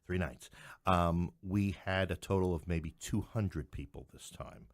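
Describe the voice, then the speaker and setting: A man's voice: low-pitched, measured pace, no echo, clean studio sound. A middle-aged man from New York City talking as a playwright guest in a conversational interview, with wry joking asides.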